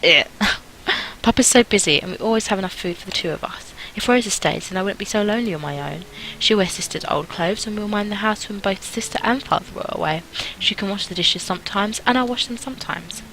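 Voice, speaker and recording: A woman's voice: mid-range voice, brisk, bright, forceful tone, a little hiss or hum, poor audio. A woman voicing an eager, chattering young girl who pleads earnestly in a storybook reading. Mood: reasoning, eager. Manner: earnest, wheedling, talkative. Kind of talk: amateur audiobook character reading.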